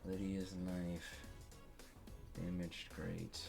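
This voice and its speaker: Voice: low voice, level tone, very slow, creaky. A gamer in a casual, sweary let's play, reading game dialogue aloud and commenting, with game music behind. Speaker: gameplay streamer and voice actor of the game's text.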